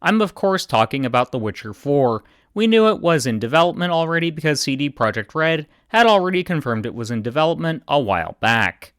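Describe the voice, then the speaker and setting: Deadpan man, clear and dry. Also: fairly high pitch, no echo. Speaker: video game commentator. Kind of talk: scripted video commentary.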